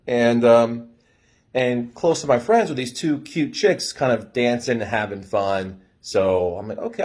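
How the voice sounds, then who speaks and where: A young American man casually telling a story in an animated way, swearing freely and acting out dialogue. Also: medium pitch, slightly rough. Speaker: storyteller giving dating advice.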